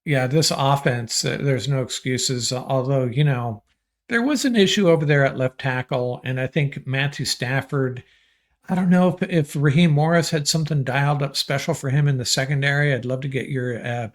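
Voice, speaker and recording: A man's voice: medium pitch, moderate pace. A laid-back man co-hosting a sports podcast, talking in a loose, hesitant way with many fillers. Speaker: podcast co-host. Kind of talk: casual sports reaction chat.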